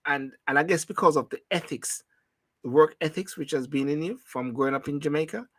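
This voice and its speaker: A man's voice: a little high, a little fast, fairly bright tone, no room sound. A man hosting a casual rum-bar style talk show, rambling and repeating himself as he loses his thread.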